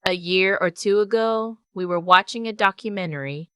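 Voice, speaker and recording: A woman's voice: mid-range voice, moderate pace, bright, forceful tone, smooth, dry recording, studio quality, close to an everyday voice. A woman voicing a controlling, scheming man with patronizing, tightly held patience in an audio story. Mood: casual, guarded.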